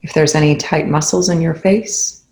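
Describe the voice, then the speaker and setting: Gravelly-voiced meditation guide in an echoey room. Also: medium pitch, steady tone. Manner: soothing, unhurried, gentle instruction with long pauses.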